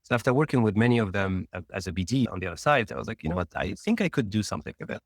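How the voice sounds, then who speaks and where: A man from Tunisia, a startup founder, telling his career story as a guest in a casual interview, rambling quickly with restarts. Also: on the low side, big pitch swings, no echo, clean studio sound.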